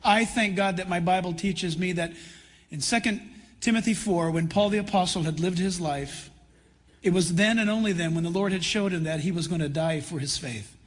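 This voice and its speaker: An American man, a middle-aged or older pastor from California, addressing a church conference in an earnest, conversational preaching style with deliberate pauses. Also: sits high. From California, United States. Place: large church hall. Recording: reverberant large hall, live PA sound.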